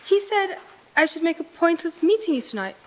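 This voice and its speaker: A woman acting as a sweet, forward young lady in a live radio play, coy and gently teasing. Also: very high-pitched, very animated, brisk. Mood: coy, hopeful.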